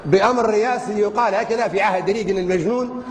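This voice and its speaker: Man orating to a crowd, super fast and high, long hall echo, faint crowd and hiss behind. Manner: fiery and rhetorical, piling up repeated phrases. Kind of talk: public speech to a crowd. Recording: reverberant hall with crowd and noise.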